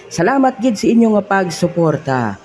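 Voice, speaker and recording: A woman's voice: mid-range voice, moderate pace, lightly acted. A woman voicing a cheerful, teasing old grandpa who hosts a comedy radio program with exclamations and announcements. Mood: upbeat. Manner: animated, exclaiming, giggly. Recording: music behind the voice.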